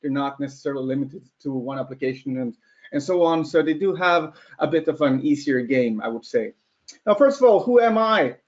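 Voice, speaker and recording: A man's voice: medium pitch, lively intonation, a little fast. A man in his thirties from Norway giving a casual webinar talk with a slightly American-sounding accent, chatty, tossing out rhetorical questions and laughing at his own points. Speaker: webcast presenter. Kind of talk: casual live webinar presentation. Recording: dull lo-fi webinar audio.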